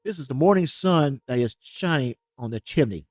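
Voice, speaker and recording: A man's voice: medium pitch, animated, normal speed, dry recording, dull audio, close to an everyday voice. A man voicing a cold, impatient stepmother in a storybook reading, speaking curtly and dismissively.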